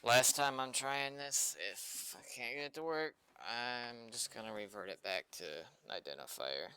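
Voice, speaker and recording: A man talking casually and off the cuff on a stream, halting and rambling, with some swearing. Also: mid-range voice, bright, forceful tone, clear, resonant tone. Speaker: streamer doing game development. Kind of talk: casual unscripted stream chat.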